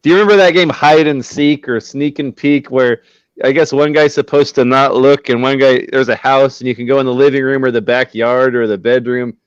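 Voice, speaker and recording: A man in his forties with a smooth, resonant voice, chatting easily on a podcast. The sound is dry and a little dull, with no room around it. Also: mid-range voice, steady tone, a little fast, dry recording. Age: 40s. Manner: agreeable, with frequent "yeah" backchannels. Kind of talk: casual chat.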